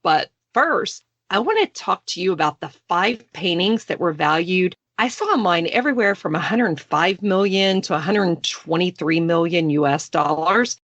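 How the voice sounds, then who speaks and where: A woman's voice: on the low side, animated, normal speed, few pauses, fairly bright tone, no room sound, lo-fi sound. Texan woman in her forties, podcast host, playful and self-deprecating, dry booth sound. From Texas. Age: 40s. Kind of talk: casual podcast chat.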